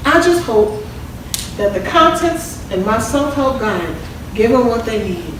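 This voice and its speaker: A woman's voice: on the high side, big pitch swings, measured pace. A woman playing a confident, preachy relationship counselor on stage, emphatic and instructive, with repeated commands. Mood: exasperated, weary. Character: confident, preachy female relationship counselor with a self-help book. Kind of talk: live stage-play acting. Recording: echoey theater hall, distant mic, noisy.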